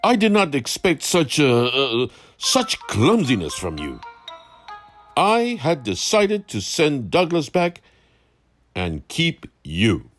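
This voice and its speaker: A man's voice: mid-range voice, huge pitch swings, slow pace, soft background music, voice pushed up. A man reading aloud, putting on a formal, indignant older railway boss who scolds.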